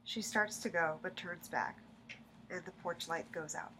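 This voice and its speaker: Bright-voiced woman reading stage directions. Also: fairly low pitch, a little slow, poor audio. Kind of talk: scripted narration for staged reading. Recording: faint background noise.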